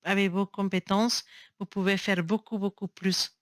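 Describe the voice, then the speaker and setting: Female tarot reader, non-native speaker, halting and searching for words, dry close sound. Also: medium pitch, flat delivery, dry recording. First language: not French. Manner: affectionate, encouraging. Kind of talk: casual tarot reading to camera. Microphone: built-in camera mic.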